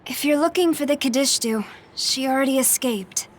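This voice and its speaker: A woman's voice: high voice, moderate pace. A young woman playing an earnest, compassionate heroine in a video game, with a questioning manner. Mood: puzzled, earnest. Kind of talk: dramatic video game voice acting. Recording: music behind the voice.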